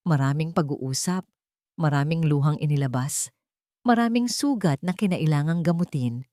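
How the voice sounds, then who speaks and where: A woman narrating a story expressively and sentimentally, in short measured phrases. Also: low-pitched, warm tone, no echo, clean studio sound. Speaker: audiobook narrator.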